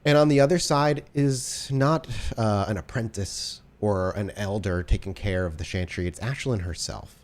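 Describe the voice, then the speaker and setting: A man's voice: on the low side, moderate pace, few pauses. A man working as game master, improvising descriptive narration for a tabletop horror role-playing game.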